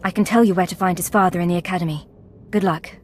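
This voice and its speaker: An actress voicing a timid, earnest young woman in a video game, speaking hesitantly, with halting restarts. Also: fairly low pitch, steady tone, a little hiss or hum, natural voice. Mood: pleading.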